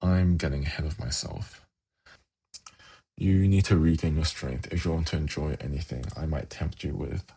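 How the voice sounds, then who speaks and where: Seductive demon prince, male actor, fantasy ASMR roleplay. Rumbling bass with heavy fry, slow, sly, intimate coaxing. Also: mellow, heavily acted. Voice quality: gravelly, husky. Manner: slow, intimate, teasing, coaxing with formal wording.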